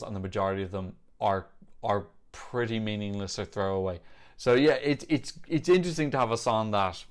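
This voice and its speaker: A man giving casual, off-the-cuff reaction commentary in a chatty, opinionated way, thinking aloud as he goes. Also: fairly low pitch. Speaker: host of a music reaction show. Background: songs from music videos being reviewed.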